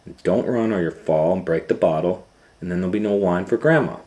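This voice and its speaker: A man voicing a fussy, caring storybook mother who gives brisk, firm instructions. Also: sits low, dark tone, close to an everyday voice. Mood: firm, fussing. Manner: brisk, bossy, listing instructions.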